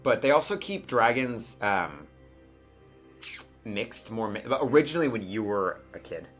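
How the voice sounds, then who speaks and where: Male game master, bright tone, chatty and informal, improvising narration for a tabletop role-playing game. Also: fairly low pitch, very animated, unhurried, faint music behind. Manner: casual, conversational.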